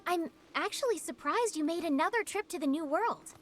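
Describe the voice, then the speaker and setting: An actress playing a confident, battle-hardened woman who briskly guides her hunter partner in a video game. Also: very high-pitched, expressive, a little hiss or hum. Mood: relaxed.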